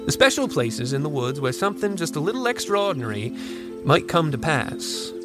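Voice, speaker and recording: An actor playing an old country man who wistfully recalls a strange boyhood in folksy, unhurried asides for an audio drama. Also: on the high side, very animated, over music. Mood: ominous, wistful. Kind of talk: first-person storytelling in an audio drama.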